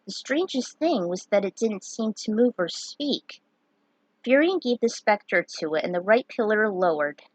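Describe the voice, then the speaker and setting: A woman's voice: mid-range voice, animated, moderate pace, dry recording, poor audio. A woman reading fantasy fan fiction aloud as its narrator. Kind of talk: story narration read aloud.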